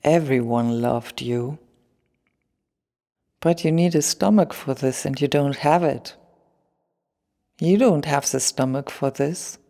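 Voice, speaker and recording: A woman playing a weary former punk singer, giving a measured, reflective monologue in a radio play. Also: a bass voice, gravelly, warm tone, exaggerated voice. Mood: blunt.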